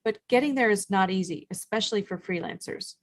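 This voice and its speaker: A woman's voice: medium pitch, fairly quick. A woman co-hosting a podcast who talks in a friendly, engaged way and tells her own story. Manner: friendly, engaged, gives encouraging backchannel. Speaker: podcast co-host. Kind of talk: live podcast chat, storytelling.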